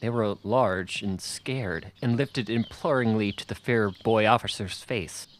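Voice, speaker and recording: A man's voice: on the low side, measured pace, a little hiss or hum. A man reading a children's novel aloud as scripted audiobook narration. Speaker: audiobook reader.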